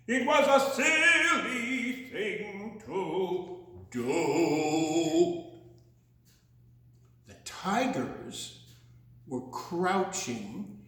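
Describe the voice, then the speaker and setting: A man's voice: high voice, fairly bright tone. A man telling a rhyming children's story playfully, stretching words into singsong and dropping in chatty asides and animal noises. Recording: very echoey room.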